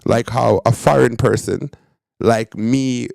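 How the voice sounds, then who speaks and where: A man's voice: medium pitch, fairly even intonation, slow pace, creaky, a little mellow, no echo. A man born in Jamaica and raised in Canada, hosting a reaction show in emphatic commentary, repeating phrases for stress, with a Canadian accent with Jamaican touches. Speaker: host.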